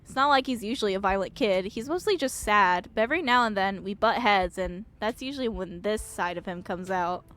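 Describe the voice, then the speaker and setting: A woman streamer giving excitable, giggly, expressive commentary while playing a visual novel and reading it aloud. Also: medium pitch, a little fast, few pauses. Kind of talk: live game commentary, reading dialogue aloud.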